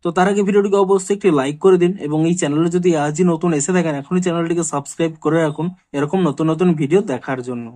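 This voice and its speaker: A man's voice: a little high, rapid-fire, hardly any pauses, a little mellow. A man hosting a tech tutorial, narrating step by step and addressing viewers directly in a repetitive, instructional way. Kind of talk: scripted how-to screen walkthrough narration.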